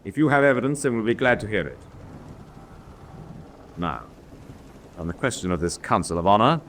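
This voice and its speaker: An actor playing an authoritative senior German naval officer in a radio drama, with a formal, commanding, measured delivery. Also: male voice, on the low side, very animated, fairly bright tone, a little hiss or hum. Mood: firm authority.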